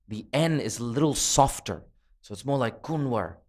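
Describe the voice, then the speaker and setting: A man's voice: mid-range voice, normal speed. A man commentating live on cricket from a commentary box, chatty and self-deprecating, his voice starting to give out. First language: not Nepalese. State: voice tiring, losing his voice.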